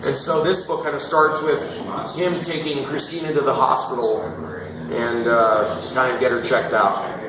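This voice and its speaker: A man, an author with a voice going hoarse, talking casually about his book series, often clearing his throat and trailing off with fillers. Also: medium pitch, unhurried. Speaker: author giving a reading. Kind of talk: reading aloud, then casual talk about his books. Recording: roomy echo with crowd behind.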